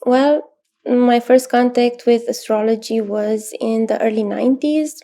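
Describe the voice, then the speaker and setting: Romanian woman, 30s, interview guest; mellow, gravelly tone, even delivery, pauses to think. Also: fairly high pitch. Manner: thoughtful, many pauses and fillers, soft laughs. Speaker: astrologer guest. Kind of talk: interview answers.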